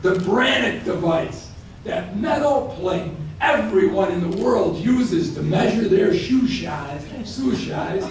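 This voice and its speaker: A gravelly, fairly high-pitched man speaks in his late fifties. He gives a storytelling keynote at a banquet and often repeats words as he gathers steam. His voice comes from far off in a very echoey hotel hall. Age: late 50s. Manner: storytelling, halting with repeated words, growing excited. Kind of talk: speech at a banquet.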